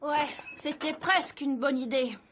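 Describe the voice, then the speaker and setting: A woman's voice: high voice, measured pace, heavy background noise. A woman voicing a nerdy, doubtful schoolboy sidekick in a French-dubbed cartoon.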